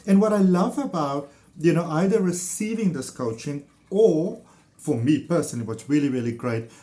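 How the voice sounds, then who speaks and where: A man's voice: fairly high pitch, lively intonation, normal speed, warm tone. Enthusiastic South African man, rambling testimonial. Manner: enthusiastic, meandering, lots of fillers. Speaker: ThetaHealing practitioner. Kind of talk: casual personal testimonial to camera.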